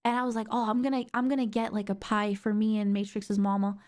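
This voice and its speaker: A young woman streamer with a sore throat, chatting excitably and rambling with lots of fillers. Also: medium pitch, fast. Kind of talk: casual stream chat.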